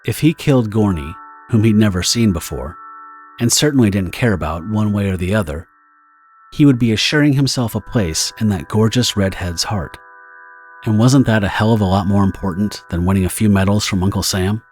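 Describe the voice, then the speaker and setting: A man narrating a scripted dramatic story in a measured, theatrical storytelling manner. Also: fairly low pitch, darkish tone. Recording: music under the narration.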